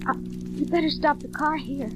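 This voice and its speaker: A woman's voice: high voice, fairly even intonation, moderate pace, close to an everyday voice, poor audio. A woman playing a timid, sheltered nineteen-year-old daughter in an old radio drama, hesitant, stammering and pleading. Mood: anxious, pleading. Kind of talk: old-time radio acting.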